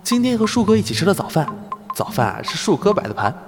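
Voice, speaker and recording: A devoted young male idol in an audio drama, gushing and clingy. His pitch swings widely in an animated, boyish delivery, with music clearly playing behind him. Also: quick pace. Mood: giddy excitement. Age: young adult. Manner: gushing, pleading, swinging from excited to earnest. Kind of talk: Chinese audio drama acting.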